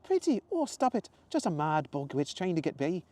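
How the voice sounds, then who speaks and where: A man voicing a bubbly, eccentric woman shopkeeper in her thirties, loud and gushing. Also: fairly high pitch, wildly swooping pitch, normal speed, voice pushed up.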